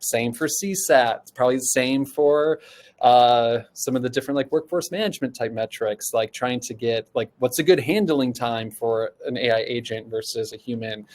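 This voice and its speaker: A man's voice: mid-range voice, moderate pace, a little mellow. Conversational man as webinar panelist. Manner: informal, conversational, poses rhetorical questions, frequent "like". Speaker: guest panelist, customer support expert at a software company. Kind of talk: casual webinar discussion.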